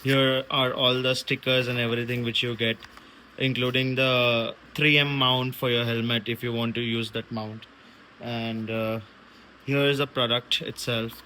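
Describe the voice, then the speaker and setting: A man talks through an unboxing at a slow, halting pace in a casual way. His voice is bright and forward, with a faint layer of noise behind it. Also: medium pitch, flat delivery, poor audio. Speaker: vlogger unboxing a product.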